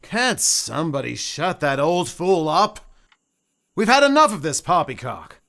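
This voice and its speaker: An actor playing an irascible, greedy heir in a radio play, dismissive and blustering. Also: male voice, fairly high pitch, sing-song, measured pace, vocal fry, fairly bright tone, full character voice. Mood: irritated.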